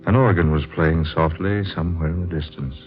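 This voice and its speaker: Earnest, brooding fantasy writer: deep, deliberate delivery, vintage radio drama, slightly muffled sound. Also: male voice, soft background music. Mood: solemn, confiding. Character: earnest, troubled male fantasy writer searching for truth. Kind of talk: 1940s radio drama acting and narration.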